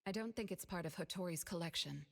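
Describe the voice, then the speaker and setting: A woman playing a composed, polite young woman in an anime-style game, measured and earnest. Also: lowish voice, vocal fry, a bit bright. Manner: measured, explanatory. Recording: reverberant hall-like sound.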